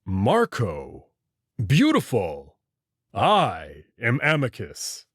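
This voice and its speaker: A man plays a massive alien wolf from a visual novel. He is expressive and easily exasperated, coaxing in halting phrases. His delivery is unhurried, with big swings of pitch. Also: breathy edge, dry recording, clean studio sound, heavily acted. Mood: pleased, curious.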